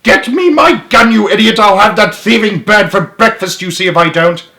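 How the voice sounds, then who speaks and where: A man's voice: high voice, moderate pace, sharp tone, voice pushed up. A man reading a storybook, voicing an irascible, imperious old duke in a pompous, exclamatory bellow. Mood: furious. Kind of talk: storybook read-aloud character voice.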